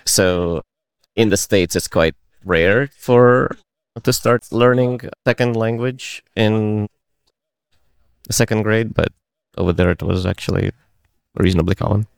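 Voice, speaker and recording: Deep gravelly man. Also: a little slow, long pauses, dry recording. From Uzbekistan. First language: Russian. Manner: hesitant, wry understatement. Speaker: meetup attendee. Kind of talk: casual group conversation. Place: indoor event space.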